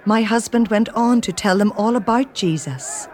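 An actress narrating as Peter's wife, telling the story in a calm, measured first person for a radio drama. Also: female voice, mid-range voice, some chatter behind, lightly acted. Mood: reflective. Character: Peter's wife, a woman recounting events.

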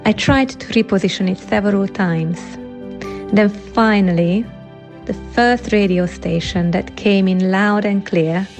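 A woman's voice: medium pitch, gravelly. A woman from Hungary giving an earnest, reflective personal testimony.

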